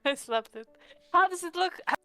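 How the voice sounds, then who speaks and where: A woman's voice: extremely high pitch, expressive, moderate pace, bright, forceful tone, soft background music. A woman playing a tabletop game, chatting casually and describing her moves in a conversational, slightly hesitant way.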